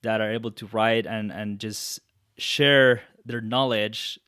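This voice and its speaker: A man's voice: on the low side, fairly even intonation, slow pace, fairly bright tone, clean tone, no echo, clean studio sound. A man in his thirties from Colombia with a Colombian Spanish accent, whose first language is Spanish, hosting an interview podcast in an earnest, reflective way with frequent restarts. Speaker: podcast host. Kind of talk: interview conversation.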